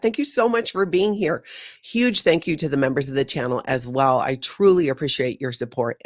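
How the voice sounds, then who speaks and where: A woman's voice: lowish voice, dry recording. A middle-aged woman from Hawaii hosting a casual live stream from her home studio, bubbly and chatty, often rambling off topic. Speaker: host.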